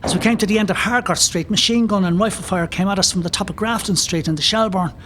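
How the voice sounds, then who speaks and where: Young volunteer fighter, male actor: earnest, high-pitched, audio play over clearly noisy rumble. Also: quick pace, lightly acted. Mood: urgent. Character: earnest young rebel volunteer, dutiful under fire.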